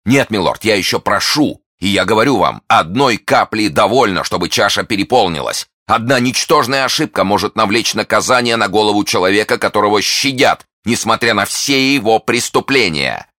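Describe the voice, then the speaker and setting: A man's voice: on the low side, lively intonation, normal speed, hardly any pauses, pushed and bright, no echo, studio quality, pitched above the performer's own voice. Man playing a fervent, righteous zealot officer. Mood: grave warning. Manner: insistent, heated, solemn. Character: fanatical young officer, righteous, fervent accuser.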